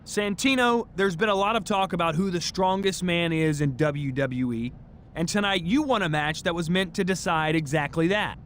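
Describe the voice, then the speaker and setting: An actor playing an earnest backstage wrestling interviewer in a video game cutscene. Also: male voice, high-pitched, moderate pace, a bit bright, slight background noise.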